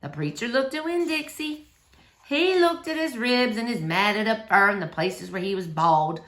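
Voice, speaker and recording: A woman narrating a children's novel aloud in an expressive, animated storytelling manner for children. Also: mid-range voice, moderate pace. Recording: roomy, echoey indoor sound.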